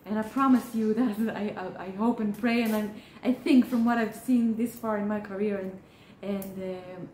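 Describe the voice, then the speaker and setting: Mellow, earnest woman. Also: mid-range voice, steady tone, a little hiss or hum. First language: Hebrew. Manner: earnest, encouraging, halting with frequent restarts. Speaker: therapist. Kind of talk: direct-to-camera advice talk. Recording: roomy echo.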